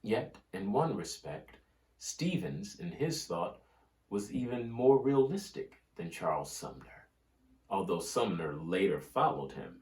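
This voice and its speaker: A man with a fairly low voice reads historical prose aloud very slowly. His delivery is solemn and oratorical, with deliberate pauses between phrases. The sound is roomy, with a clear echo around the voice. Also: big pitch swings, a little mellow. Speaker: reader of a history book. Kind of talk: scripted dramatic reading of history and quoted oratory. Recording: roomy, echoing room sound.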